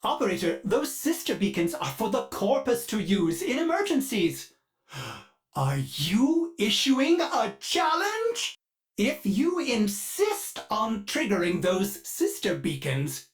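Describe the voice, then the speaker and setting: A man's voice: sits high, full character voice. An actor playing a polite, fussy male ship AI in a video game who speaks of himself in the third person, heard through a glitchy robotic voice filter. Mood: warning. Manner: sudden dark glitched outbursts.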